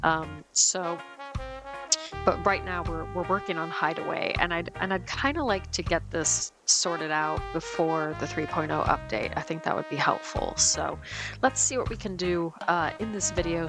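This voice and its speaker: Low-voiced woman with a lingering cold. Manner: chatty and easygoing, with frequent ums and restarts. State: getting over a cold, a bit sniffly. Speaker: let's play host. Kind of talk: casual gameplay commentary.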